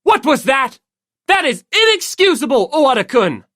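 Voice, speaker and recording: A man voicing a strict, indignant teenage hall monitor in an audiobook, scolding loudly in formal words. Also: very high-pitched, very animated, moderate pace, pitched above the performer's own voice, exaggerated voice. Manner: formal, scolding, forceful shouting. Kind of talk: audiobook character voice.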